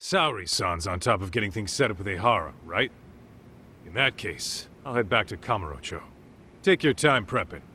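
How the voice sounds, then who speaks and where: An actor playing a gruff, easygoing, slangy tough detective in a video game. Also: male voice, lowish voice, animated, normal speed, pushed and bright, slight background noise. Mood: casual, wary. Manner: blunt, teasing, slangy. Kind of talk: English video game voice acting.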